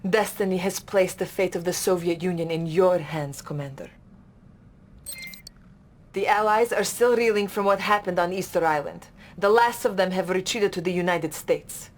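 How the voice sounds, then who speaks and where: Fields. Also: female voice, lowish voice, normal speed, a little hiss or hum, natural voice. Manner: urgent, formal briefing. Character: loyal, earnest female Soviet officer. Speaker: Soviet military officer.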